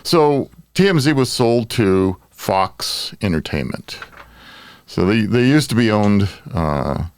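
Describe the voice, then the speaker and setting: A man's voice: on the low side, animated, slow pace, smooth, dry recording, studio quality. An older man with an American accent, hosting a casual podcast panel chat. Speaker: podcast host.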